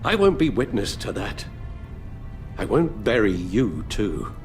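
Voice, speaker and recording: Weary elderly butler, expressive delivery. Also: male voice, fairly low pitch, faint music behind. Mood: grave, sorrowful. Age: older. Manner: measured, formal, earnest. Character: weary elderly male butler, guilt-ridden, grave, fatherly. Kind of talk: dramatic video game acting.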